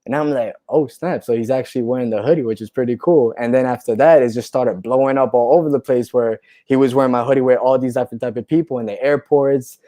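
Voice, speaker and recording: A man talks loosely and at length as a guest, circling back with small asides and checks for agreement. His voice is mellow and middle-pitched, and he sounds a little tipsy from the wine. The sound is dry, with no room echo. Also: quick pace, few pauses. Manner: relaxed, rambling storyteller, says "you know" and "right?" a lot. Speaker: clothing brand founder, guest. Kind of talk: casual conversation.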